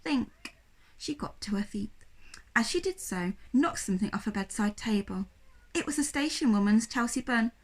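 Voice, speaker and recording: A woman reading a children's story aloud like a primary school teacher, with expressive, dramatic narration. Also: mid-range voice, moderate pace.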